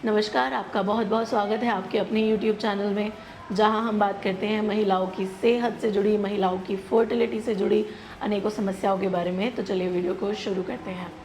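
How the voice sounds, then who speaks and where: An Indian woman speaks as a doctor hosting a health explainer. Her voice sits at an average pitch, and she addresses the listener directly in an instructive way, mixing in English terms. A faint noise runs behind her. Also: fairly even intonation, fairly quick. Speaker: gynecologist presenting a health channel. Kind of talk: explanatory medical advice to camera.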